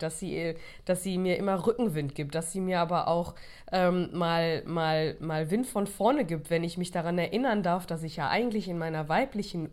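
A woman with a slightly low voice talks loosely about her subject, restarting sentences and filling pauses as she goes. She has a cold, so her breathing is a little short. The delivery is unscripted and conversational. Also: a little mellow. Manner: rambling, many restarts and fillers. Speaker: course creator and podcast host. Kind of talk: casual monologue.

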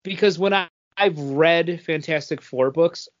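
A man's voice: fairly high pitch, a little slow, highs cut off. A man chatting casually as a co-host on a podcast. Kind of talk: casual chat.

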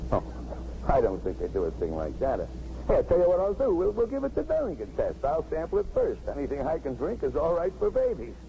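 An actor playing a plain-spoken, kindly American traffic cop with a coaxing, colloquial manner in a 1950s radio drama. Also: male voice, fairly high pitch, wildly swooping pitch, quick pace, mellow. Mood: reassuring. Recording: muffled, lightly noisy 1950s radio broadcast.

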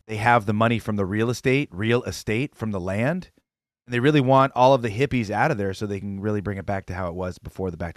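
A man's voice: lowish voice, no echo, clean studio sound. A man co-hosting a casual news show, giving opinionated rants about local politics. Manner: opinionated, fast-talking rants.

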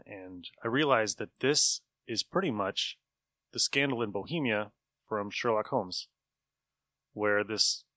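A man hosts a casual podcast discussion in a lowish, bright voice. The sound is close and dry. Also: no echo. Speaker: podcast host. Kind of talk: casual chat.

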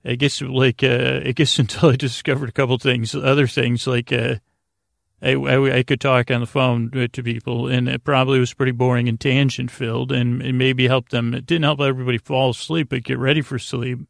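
A man with a creaky voice hosting a bedtime podcast, rambling in a halting way and often interrupting himself on tangents. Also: mid-range voice, little pitch movement, no echo, clean studio sound. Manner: meandering, hesitant, halting self-interruptions. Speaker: podcast host.

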